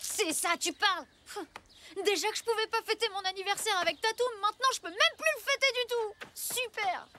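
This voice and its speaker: A woman's voice: very high voice, a little fast, noisy background. A woman voicing an eager, headstrong little boy in a cartoon, with a childlike, insistent manner. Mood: indignant. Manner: pleading, indignant, childlike. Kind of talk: French cartoon dub acting.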